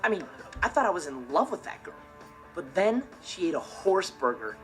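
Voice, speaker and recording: A man's voice: high-pitched, big pitch swings, moderate pace. Breezy American teenage guy, music underneath. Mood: casual. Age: teenage to young adult. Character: cool, carefree, mischievous teenage brother. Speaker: sitcom lead. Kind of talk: scripted sitcom monologue to camera.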